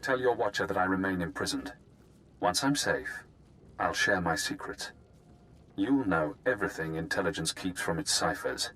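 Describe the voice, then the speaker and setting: An actor playing a coolly persuasive, calculating escaped prisoner in a video game, speaking in a measured, formal way. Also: male voice, sits low, animated, bright, forceful tone, a little hiss or hum, low-quality recording. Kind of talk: video game dramatic acting.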